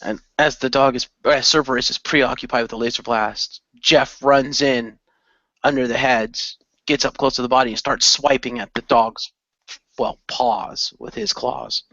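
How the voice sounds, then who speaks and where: A man of medium pitch tells his part of an improvised adventure, moving between narration and character lines. The sound is dry and a little dull. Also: fairly even intonation, normal speed, a bit bright, no echo. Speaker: player in an improvised radio play. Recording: dull lo-fi voice recording.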